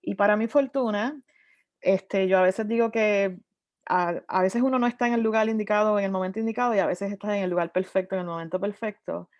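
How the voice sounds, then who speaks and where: Woman in her 30s, animated panelist, mixes in English words, dull and dry call audio. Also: medium pitch, brisk, no room sound. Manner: lively, mixes in English terms, frequent restarts. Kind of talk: conversational storytelling on a virtual panel. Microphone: video call.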